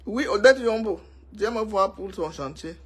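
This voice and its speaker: A woman's voice: medium pitch, animated, normal speed, breathy edge. A woman from Cameroon with a Cameroonian French accent, talking to her viewers in a casual, emphatic gossip monologue and repeating herself for effect. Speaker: vlogger.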